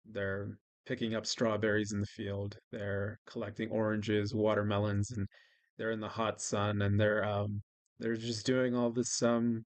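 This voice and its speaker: Mexican-born guy w american accent, low flat voice, rambling vlog, dry sound. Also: measured pace, no echo. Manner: rambling, hesitant, many fillers and restarts. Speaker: vlogger. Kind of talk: casual diary-style vlog. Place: living in Mexico.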